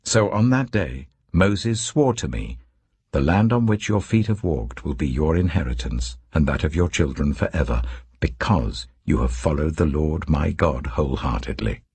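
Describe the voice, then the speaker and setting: A man's voice: very deep voice, animated, normal speed, a little mellow, husky, heavily acted. A British man voicing a vigorous, devout old warrior in his eighties in an audiobook, earnest and emphatic. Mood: earnest, resolute. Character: vigorous eighty-five-year-old male warrior elder, proud and devout.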